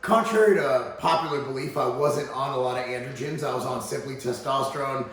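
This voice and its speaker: An American man with a slightly hoarse voice talking casually and emphatically to viewers as a fitness vlog host. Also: medium pitch, brisk, fairly bright tone. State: slightly hoarse, voice recovering from laryngitis. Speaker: fitness channel host and supplement executive. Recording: very echoey, reverberant room.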